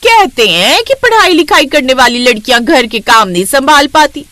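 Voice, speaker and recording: A woman's voice: sits high, big pitch swings, moderate pace, pushed and bright, no echo, voice pushed up, exaggerated voice. A woman voicing a mocking, gossipy aunt in a cartoon story, sneering and taunting.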